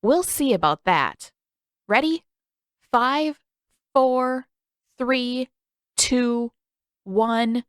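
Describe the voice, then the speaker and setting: Woman voicing a cheerful, higher-pitched young boy. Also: drawn-out words, pauses often, clean tone, dry recording, clean studio sound. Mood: playful.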